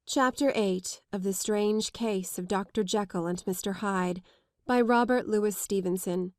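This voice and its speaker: Female narrator for classic fiction: mid-range voice, measured literary delivery, dry recording. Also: medium pitch, darkish tone, no echo. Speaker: audiobook narrator.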